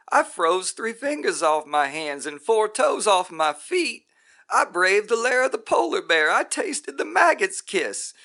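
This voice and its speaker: A frantic burned-out junkie, as a man puts him on in a poem reading, his voice jumped way up high and loud. He pleads and rants hard, with a bright, forceful edge. He drawls more than he rushes. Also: exaggerated voice. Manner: pleading, then raging and shouting. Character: desperate burned-out male junkie climber. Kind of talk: poetry reading.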